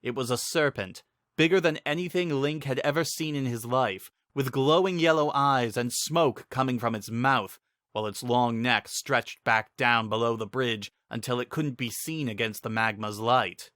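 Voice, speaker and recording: A man's voice: medium pitch, normal speed, a bit bright, no room sound, clean studio sound. A man giving a dramatic scripted narration of a fantasy adventure story.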